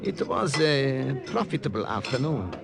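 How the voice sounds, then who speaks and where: An actor playing a mild, cautious professor working as an undercover spy, speaking hesitantly in a 1950 radio drama. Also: male voice, medium pitch, sing-song, measured pace, creaky, a loud crowd. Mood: conspiratorial. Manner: hesitant, careful. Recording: vintage radio recording, loud music behind.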